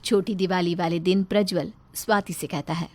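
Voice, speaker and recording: A woman's voice: on the low side, fairly even intonation, normal speed, no room sound. A woman narrating a scripted story.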